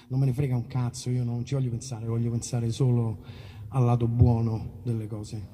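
An Italian man, a musician, chatting informally and haltingly to a concert audience as he introduces songs. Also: mid-range voice, level tone, warm tone, husky. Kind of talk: casual spoken song introduction to an audience. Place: live outdoor evening concert in a park olive grove.

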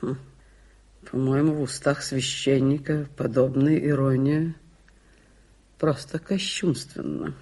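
An actress playing a haughty, mocking prophetess in a radio drama, speaking solemnly and deliberately with sardonic weight. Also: female voice, rumbling low, fairly even intonation, dark tone, heavily acted.

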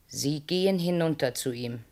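An actress playing a cold, insistent woman who urges a man to murder, speaking calmly and at times in a whisper. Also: low-pitched, moderate pace. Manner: calm, insistent, sometimes whispering.

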